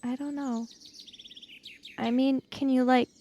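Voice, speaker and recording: A woman's voice: high-pitched, level tone, takes it slowly, mellow, slight background noise. A woman playing a sensible kid in improvised tabletop roleplay, reasoning aloud in a questioning, matter-of-fact way. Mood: uncertain, practical.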